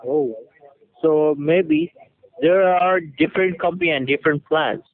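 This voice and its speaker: A man's voice: fairly high pitch, very animated, a little slow, gravelly. A man working as an insurance agent, making a sales call over the telephone. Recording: tinny narrowband phone line.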